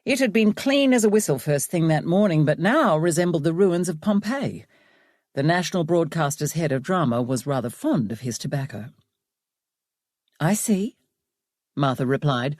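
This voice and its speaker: Expressive woman narrating fiction, close dry sound. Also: sits low, dry recording. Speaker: audiobook narrator.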